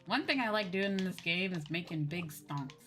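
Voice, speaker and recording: A woman's voice: fairly low pitch, lively intonation, moderate pace, a bit bright, soft background music. A woman livestreaming a game with casual commentary, chatting to viewers and rambling as she thinks aloud. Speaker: livestreamer playing a farming game.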